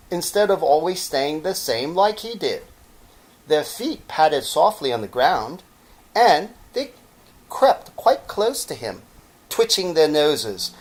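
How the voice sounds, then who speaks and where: Slow, animated male storyteller. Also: fairly high pitch. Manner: theatrical, drawn-out phrasing with pauses. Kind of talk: expressive children's story narration.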